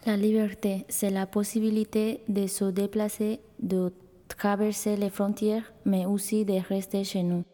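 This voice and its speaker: A woman's voice: flat delivery, unhurried, dark tone, in a large hall. A woman from Bolivia with a Bolivian accent, reading short scripted statements. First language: Spanish. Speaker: exhibition participant. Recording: faint rumbling noise.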